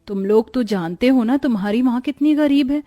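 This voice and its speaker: A woman's voice: fairly high pitch, normal speed, lo-fi sound, natural voice. A woman voicing a poor, weary, devoted village mother in a cartoon story, speaking tenderly, earnestly and plaintively. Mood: tender, resigned.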